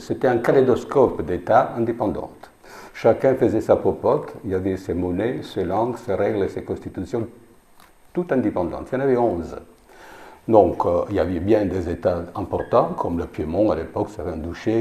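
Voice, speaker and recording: A man's voice: low-pitched, mellow, reverberant. A man with an Italian accent giving a history talk in French, a second language, with many restarts, repeated words and fillers. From Italy. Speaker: lecturer. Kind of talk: informal history lecture.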